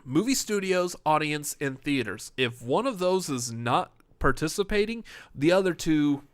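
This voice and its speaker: A man with a loud, radio-style voice that comes through smooth and resonant. He sounds tired, running on the last of his energy, and the sound is close and dry with no room around it. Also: on the high side, lively intonation, moderate pace, no echo. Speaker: podcast co-host. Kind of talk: casual chat on a live stream.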